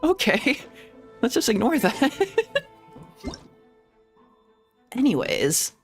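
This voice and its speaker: A man's voice: very high-pitched, huge pitch swings, extremely slow, slightly rough. A man streaming a video game, chatting and rambling casually and laughing often. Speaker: VTuber streamer. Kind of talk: casual gameplay stream commentary. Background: faint game music.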